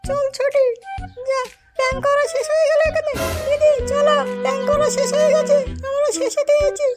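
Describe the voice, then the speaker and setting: A man acts out a saucy young cartoon woman in an extremely high, squeaky voice. The lines stay narrow in range, and loud playful music runs behind them. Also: level tone, fairly quick. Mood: dismissive. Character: saucy young village woman and her little sister. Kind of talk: comic cartoon voice acting.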